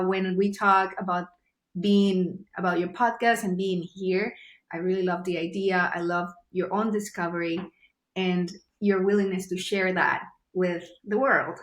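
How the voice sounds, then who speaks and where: A woman's voice: medium pitch, fairly bright tone, reverberant. A woman from Venezuela with a Venezuelan Spanish accent, a native Spanish speaker, talking earnestly as a podcast guest and often searching for her words. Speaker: guest, journalist. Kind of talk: casual podcast interview.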